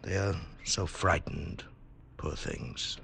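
A man acting in a 1940s radio drama as a gentle, grave, pitying elder of a superior people, with a gravelly, husky voice. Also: deep voice, takes it slowly, a little hiss or hum, full character voice. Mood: pitying calm.

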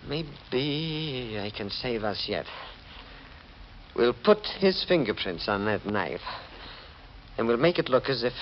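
Desperate male schemer, 1940s radio melodrama, highly animated, on a dull vintage recording. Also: slow pace, slight background noise. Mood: calculating. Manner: dramatic, swinging from smooth lying to agitation. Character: scheming desperate murderer, a man after an inheritance. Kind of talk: 1940s radio mystery acting.

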